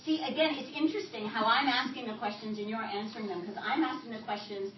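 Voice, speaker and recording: Soft-spoken woman interviewer, echoey hall. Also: on the high side, fast talker. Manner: soft-spoken, hesitant, with many fillers. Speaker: interviewer and author. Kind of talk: live panel interview before an audience. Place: event hall with audience. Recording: roomy hall, distant mic, dull lo-fi sound, audible hiss.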